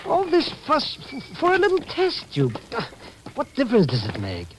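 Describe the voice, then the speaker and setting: An actor playing a nervous, wheedling comic sidekick in a 1940s radio drama, stammering and exclaiming. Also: male voice, extremely high pitch, wildly swooping pitch, moderate pace, exaggerated voice. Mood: nervous, complaining. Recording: noisy old radio broadcast recording.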